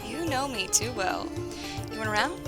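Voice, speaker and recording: A woman's voice: a little high, lively intonation, moderate pace, loud music behind the voice. A woman as a rowdy teasing knight sergeant. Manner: brash, teasing.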